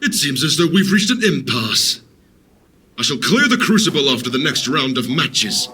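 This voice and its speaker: Booming, righteous warrior, very sharp. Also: male voice, big pitch swings, normal speed, a little hiss or hum. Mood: stern, resolute. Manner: declamatory, forceful. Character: frustrated, commanding male warrior, booming and righteous. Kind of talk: audio drama acting.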